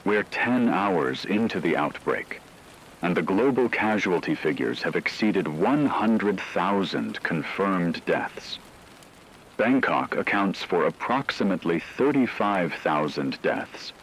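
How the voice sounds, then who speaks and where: A calm, grave news anchor acted by a man with a low, deep voice delivers formal, factual bulletins in an apocalyptic radio drama. His delivery is measured and scripted, over a faint bed of rainy broadcast noise. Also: creaky, heavily acted, poor audio.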